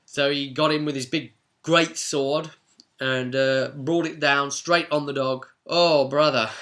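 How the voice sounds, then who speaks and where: A man with a British accent hosting a casual spoken recap of a tabletop role-play session as game master, telling it like a chatty, animated storyteller. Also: medium pitch.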